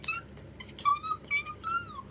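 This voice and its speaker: Tiny frightened storybook mice, extremely high. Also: female voice, fairly even intonation, normal speed, music in the background. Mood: alarmed. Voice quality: squeaky, thin. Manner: panicky, exclaiming.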